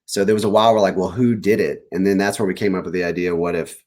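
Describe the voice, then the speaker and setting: A man talking casually as an author guest in an interview, animated and rambling as he pieces ideas together aloud. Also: low voice, fast talker. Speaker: children's book author, guest. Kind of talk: casual interview answers about writing.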